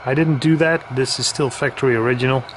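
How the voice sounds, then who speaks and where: A man giving a casual, hesitant product demonstration with halting pauses. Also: mid-range voice, fairly even intonation, noisy background. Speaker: radio equipment reviewer.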